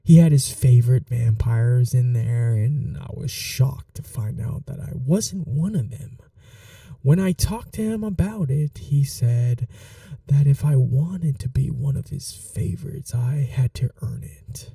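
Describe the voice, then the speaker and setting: A bitter, possessive young vampire, voiced by a man in an ASMR roleplay, talking slowly in a dark, gravelly voice. He speaks softly, almost confessing, and sinks into whispers now and then. The mic is dry and set back a little. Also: mid-range voice, no room sound, far from the mic. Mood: bitter confessional. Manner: slow, soft-spoken, confessional, drops to whispers.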